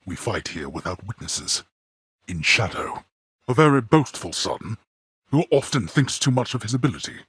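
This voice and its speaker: Husky, imperious lord of the dead. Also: male voice, low voice, a bit bright, dry recording, clean studio sound, full character voice. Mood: stern menace. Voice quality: husky, gravelly. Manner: formal, archaic, menacing, disdainful. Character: grim, imperious god of the dead and stern father. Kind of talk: theatrical video game villain acting.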